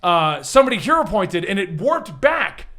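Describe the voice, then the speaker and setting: Guy game master, high voice, really bouncy delivery, jokey and casual w/ friends. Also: sing-song, normal speed, pushed and bright. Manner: jokey, laughs often. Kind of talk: casual tabletop game narration among friends.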